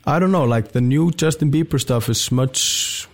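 A man's voice: medium pitch, moderate pace. A young man, a band member guesting on a radio interview, talking casually with lots of fillers and restarts. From Portugal. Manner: hesitant, many fillers and restarts.

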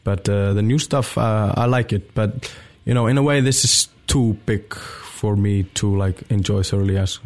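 A young man, speaking in a somewhat low voice. He answers loosely and hesitantly, filling pauses with "uh" and "like". He sounds like a musician chatting on a radio interview. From Portugal. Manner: hesitant, many fillers and restarts. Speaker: band member guest.

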